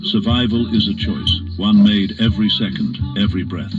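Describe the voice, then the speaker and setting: A man's voice: fairly low pitch, moderate pace, natural voice. A man playing a grim, weary soldier narrating a war trailer. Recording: muffled audio under loud guitar music.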